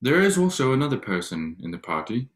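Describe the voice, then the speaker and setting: A man playing a reserved young gentleman in a period drama reading, stiffly courteous. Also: mid-range voice, very animated, brisk. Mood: awkward courtesy.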